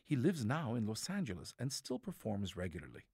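A man's voice: lowish voice, huge pitch swings, brisk, darkish tone, husky, no room sound, studio quality. A man from Greece, a cantor, hosting a radio program about music with scripted narration.

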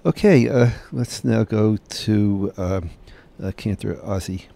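A man in his late seventies hosting a radio interview, courteous and hesitant, full of pauses and fillers. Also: fairly low pitch, gravelly, mellow. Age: late 70s. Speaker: radio show host.

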